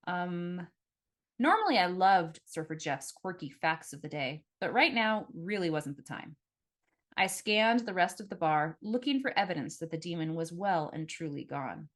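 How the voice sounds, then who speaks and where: A woman reading her own first-person novel aloud as a scripted narration, with expressive, dramatic storytelling. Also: fairly low pitch, moderate pace.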